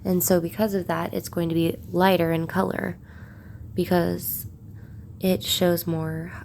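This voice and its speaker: A woman with a croaky voice from a cold, narrating a drawing tutorial in an unhurried way while she shades. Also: fairly low pitch, flat delivery, darkish tone, a little hiss or hum. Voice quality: gravelly. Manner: unhurried, talks while shading. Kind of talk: relaxed drawing tutorial voice-over.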